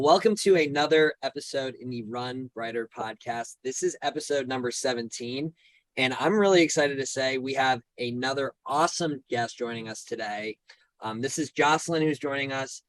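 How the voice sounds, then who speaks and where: A man's voice: mid-range voice, a bit bright, no echo, rough recording. A man hosting a podcast, friendly and upbeat as he introduces and questions his guest. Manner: friendly, upbeat host with repeated words. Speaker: podcast host. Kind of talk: podcast interview.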